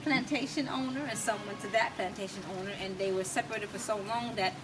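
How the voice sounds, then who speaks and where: A noisy, distant-miked recording of an American woman in conversation. She has a medium-pitched voice and speaks emphatically and reflectively, setting out her story in short, deliberate phrases as an interview guest. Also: steady tone. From United States. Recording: distant mic, clearly noisy background.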